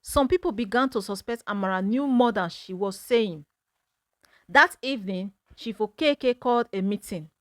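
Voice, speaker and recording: A woman storyteller narrating a scripted folktale in a dramatic, measured manner. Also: medium pitch, a bit bright, no room sound, studio quality.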